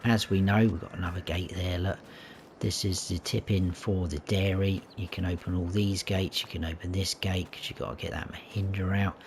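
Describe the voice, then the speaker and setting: A fairly low-voiced man with a croaky throat talks viewers through a farming game map. He chats casually and corrects himself as he goes, and there is a faint hum of game ambience behind him. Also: fairly even intonation, normal speed, a little mellow. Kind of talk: casual gameplay tour commentary.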